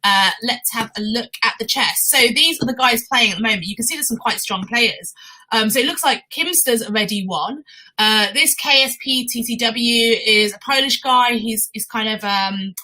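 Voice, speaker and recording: A woman's voice: a little high, moderate pace, sharp tone, poor audio. A woman hosting live chess commentary in a conversational, explanatory way, often putting questions to her co-commentator.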